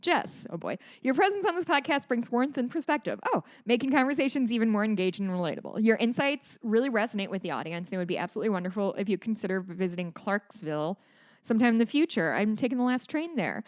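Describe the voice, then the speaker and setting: A woman's voice: on the high side, expressive, quick pace, no echo, clean studio sound. A woman from Chicago co-hosting a podcast, chatty with joking asides, reading listener reviews aloud. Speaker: podcast co-host. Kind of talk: casual podcast chat.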